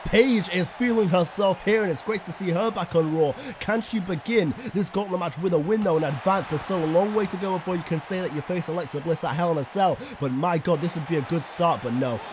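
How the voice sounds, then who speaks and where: A young man giving excitable play-by-play commentary over a wrestling video game, his voice slightly raspy and breaking into shouts. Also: a little high, fast talker, hardly any pauses, noisy background. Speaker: gaming commentator.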